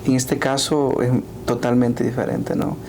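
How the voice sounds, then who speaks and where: A man's voice: mid-range voice, flat delivery, unhurried, background noise. A man from El Salvador hosting a podcast interview, speaking with many "eh" fillers and short affirmations. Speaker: podcast host. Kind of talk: conversational interview.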